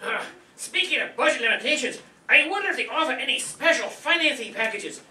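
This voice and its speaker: Man acting a sharp high-voiced struggling homeowner. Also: animated, a little fast, slight background noise, exaggerated voice. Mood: doubtful, curious. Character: earnest struggling male homeowner in radio comedy. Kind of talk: comic radio-play acting. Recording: echoey stage, distant mic.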